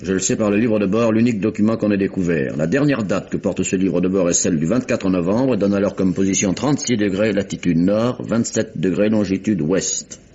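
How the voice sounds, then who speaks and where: An actor playing an imperious, insistent public prosecutor with formal, pointed questioning in a vintage French radio mystery. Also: male voice, low voice, a little fast, hardly any pauses, warm tone, husky. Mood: pressing, assured. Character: imperious, insistent English male public prosecutor interrogating witnesses. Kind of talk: 1950s-style French radio mystery acting. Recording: dull, muffled vintage radio recording.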